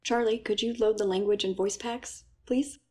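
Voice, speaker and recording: An actress playing a playful, upbeat female scientist with a husky voice in an audio story. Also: medium pitch, fairly even intonation, moderate pace, a little mellow, close to an everyday voice. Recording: music under the voice.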